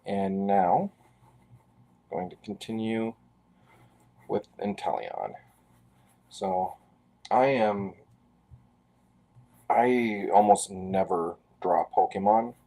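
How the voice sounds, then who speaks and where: A man's voice: on the low side, drawn-out words, pauses often, warm tone. A man in his forties from Canada, chatting casually and rambling with self-deprecating asides while he draws on a live stream. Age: 40s. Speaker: artist live-streaming a drawing.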